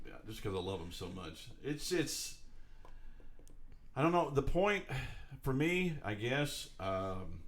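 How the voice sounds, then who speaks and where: Man, podcast co-host, rambling casual chat, lively up-and-down pitch. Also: takes it slowly. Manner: chatty, rambling, joking.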